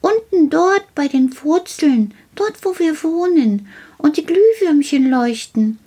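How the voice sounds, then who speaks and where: A woman voicing a small, excitable male gnome who is eager, childlike and likes to repeat words in a storybook tale. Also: high-pitched, slow, voice pushed up. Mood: proud, explaining.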